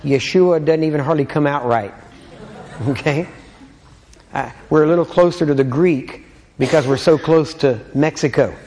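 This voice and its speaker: A man's voice: on the high side, normal speed, a little mellow, big-room sound, some chatter behind. A man with a Texan accent preaching in a casual, folksy teaching style, full of joking asides and checks like "all right?". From Texas.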